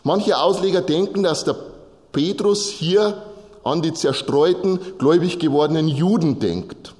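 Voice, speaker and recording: Male preacher, slightly high-pitched voice, explanatory sermon delivery with direct address, long hall reverb. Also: a little mellow. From Bavaria, Germany. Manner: explanatory, direct address with short repeated phrases. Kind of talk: sermon, teaching from Bible texts to a congregation.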